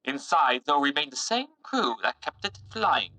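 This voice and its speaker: A man delivers dramatic scripted narration for a sci-fi adventure. His voice is very bright and forceful, pitched a bit above the usual for men. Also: very animated, moderate pace.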